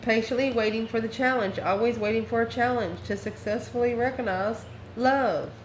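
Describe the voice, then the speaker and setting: A woman's voice: fairly high pitch, normal speed. Folksy, sassy woman in a roomy echo. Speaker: online tarot reader. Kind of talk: improvised spoken tarot reading. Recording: roomy echo with faint background noise.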